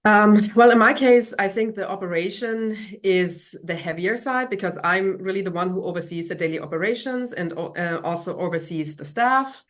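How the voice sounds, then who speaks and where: A woman's voice: medium pitch, normal speed, few pauses. A woman from Germany with a German accent, answering conversationally as a business-owner guest in an interview.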